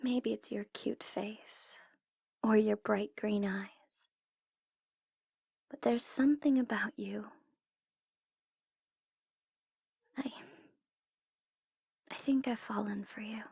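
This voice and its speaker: A woman's voice: medium pitch, fairly even intonation, slow, gravelly, long pauses, a little mellow, slightly rough, no room sound, studio quality, full character voice. A woman playing a smug, secretly tender female supervillain mastermind in an intimate, theatrical ASMR roleplay, teasing and easily flustered. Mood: tender, confessing.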